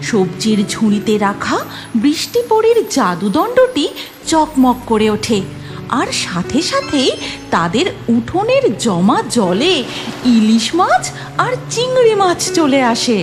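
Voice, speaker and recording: A woman's voice: high voice, wildly swooping pitch, slow, over music. A woman narrating a scripted cartoon story.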